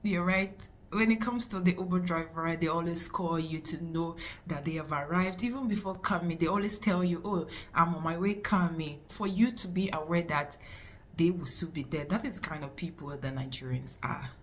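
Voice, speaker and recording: A woman with a fairly low voice joins the casual vlog conversation as co-host. She backs up her partner's points emphatically and then explains them at length in her own words. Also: normal speed.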